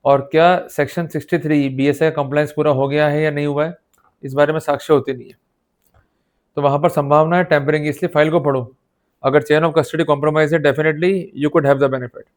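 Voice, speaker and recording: An Indian man giving an explanatory lecture with an Indian accent, instructive and emphatic, putting short rhetorical questions to his students and switching between two languages. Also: on the high side. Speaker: law lecturer. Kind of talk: explanatory lecture mixing Hindi and English, addressing students.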